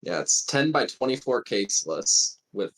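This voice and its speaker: A man's voice: mid-range voice, big pitch swings, moderate pace, a bit bright, low-quality recording. A man chatting casually and nerdily as a player in a tabletop roleplaying game. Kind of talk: casual nerdy game chat, sweary.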